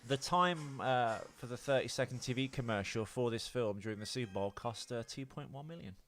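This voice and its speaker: A man's voice: mid-range voice, fairly quick, a bit bright. A man co-hosting a podcast in casual, rambling banter with some swearing. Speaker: podcast co-host.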